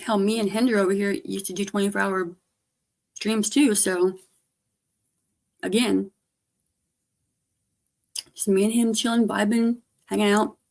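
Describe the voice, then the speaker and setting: A hoarse woman with a gravelly edge, talking at a middling pitch. She rambles casually to her stream audience, letting her sentences trail off softly. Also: steady tone, a little slow, pauses often. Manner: rambling, trailing off, soft-spoken. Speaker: livestreamer. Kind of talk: casual livestream chat.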